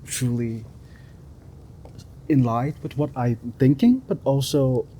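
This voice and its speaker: A man talking as a filmmaker guest on an interview podcast, hesitant, filling pauses with "like" and "um" and loose grammar. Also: mid-range voice, mellow, slight background noise.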